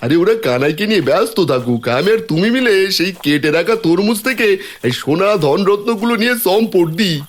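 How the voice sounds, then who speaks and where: পুরুষ অভিনেতার চড়া গলার ধূর্ত গ্রাম্য লোক. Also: male voice, high-pitched, expressive, a little fast, hardly any pauses. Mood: scheming. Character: sly, greedy, conniving village man. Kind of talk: Bengali cartoon voice acting.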